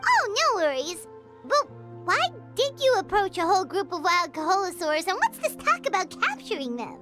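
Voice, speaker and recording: A woman voicing a tiny, excitable, childlike female fairy companion in a video game, squeaky and eager. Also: normal speed. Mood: puzzled, indignant. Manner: speaks of self in third person, eager. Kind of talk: video game character acting. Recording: game music under the voice.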